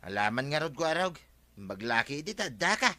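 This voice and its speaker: An actor with an Ilocano accent playing a plain-spoken, grumbling older village farmer in a radio comedy drama. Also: male voice, fairly high pitch, big pitch swings, fairly quick, sharp tone. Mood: matter-of-fact.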